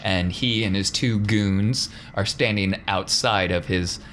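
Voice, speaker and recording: A man's voice: fairly low pitch, fairly even intonation, takes it slowly, a bit bright, a little hiss or hum. A man narrating a tabletop game as game master in a casual, enthusiastic, colloquial way.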